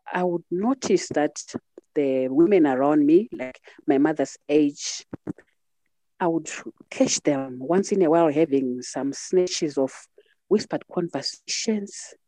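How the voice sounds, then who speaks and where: A middle-aged woman with a Zimbabwean accent shares personal memories as an interview guest. Her voice is soft-edged and mellow, and the recording is close and dry. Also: deep voice, no room sound, poor audio. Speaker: podcast guest. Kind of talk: reflective personal storytelling in an interview.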